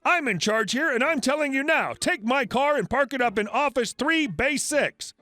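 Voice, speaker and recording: A man voicing a furious, entitled, bossy woman who shouts demands indignantly in a narrated story. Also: high-pitched, big pitch swings, normal speed, dry recording, voice pushed up, exaggerated voice. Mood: furious, demanding.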